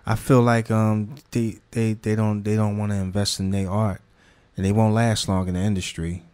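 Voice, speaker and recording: Low-voiced man with a laid-back slangy drawl. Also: moderate pace, clean tone. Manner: relaxed slangy talk with many restarts and fillers. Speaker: magazine founder. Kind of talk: casual interview conversation.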